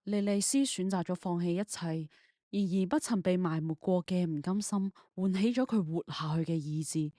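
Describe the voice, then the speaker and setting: A woman's voice: fairly low pitch, moderate pace, dark tone, dry recording, clean studio sound. A woman, narrating a scripted audio drama in the third person.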